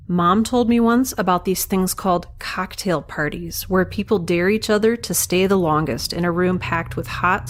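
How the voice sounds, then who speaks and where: A woman's voice: lowish voice, a little hiss or hum, close to an everyday voice. A young woman playing a wry, stranded space scientist, rambling self-deprecatingly through a first-person log in an audio drama. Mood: musing, wry.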